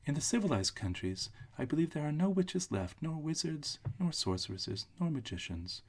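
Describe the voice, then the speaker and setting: A man's voice: a little high, sing-song, moderate pace, darkish tone, pitched above the performer's own voice. A man voicing a kindly, solemn little old woman, a good witch in a storybook read-aloud. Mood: explaining.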